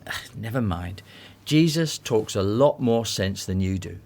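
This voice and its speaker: A man's voice: fairly low pitch, expressive, a little slow, a little mellow. A man acting in a radio drama as a cynical, worldly disciple who jokes sardonically and grumbles. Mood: scornful, grumbling.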